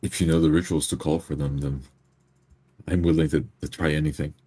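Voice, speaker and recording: Grim fantasy tabletop adventurer acted by a man, very deep and husky, plain-spoken, hesitant, close dry sound. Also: fairly even intonation, a little fast, mellow, no room sound. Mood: resolute, wary.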